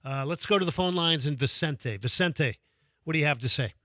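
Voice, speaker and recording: A man's voice: a little high, fairly quick, sharp tone, no room sound, clean studio sound. Upbeat man hosting a sports call-in show. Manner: enthusiastic, reads out phone number repeatedly. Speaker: radio sports show host. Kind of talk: call-in radio talk.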